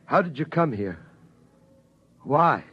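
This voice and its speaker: A man's voice: fairly high pitch, sing-song, slow pace, breathy edge, heavily acted. An actor playing a weary, wistful middle-aged father of a survivor family in a 1950s radio drama, speaking hesitantly. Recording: dull old radio broadcast recording.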